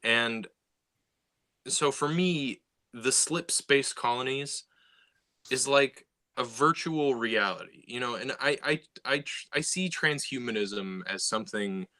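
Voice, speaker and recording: A man's voice: medium pitch, takes it slowly, pushed and bright. A young man talking casually about science fiction, with many fillers and restarts. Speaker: sci-fi writer. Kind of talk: casual conversation.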